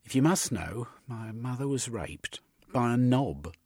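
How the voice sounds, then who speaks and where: A man voicing a blunt, rough-spoken young woman in an audiobook, with a husky, raised voice. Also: mid-range voice, lively intonation, unhurried, darkish tone, no echo, studio quality, pitched above the performer's own voice.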